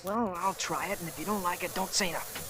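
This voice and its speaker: A man's voice: sits high, fast talker. An actor playing a stubborn, slangy male diner cook with a blunt, surly way of talking, in 1940s radio style. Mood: conspiring, casual. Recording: hissy vintage radio broadcast.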